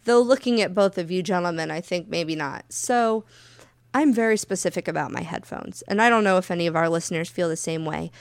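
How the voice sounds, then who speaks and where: Loud, animated woman podcast co-host. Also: lowish voice, a little fast, vocal fry, dry recording. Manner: animated, profane storytelling. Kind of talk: casual podcast chat.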